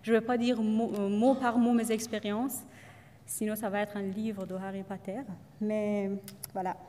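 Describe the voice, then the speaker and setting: Young woman, second-language speaker, halting testimonial talk, medium pitch, reverberant hall. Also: level tone, warm tone, a little hiss or hum. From Iran. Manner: hesitant, many fillers and restarts. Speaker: student speaker.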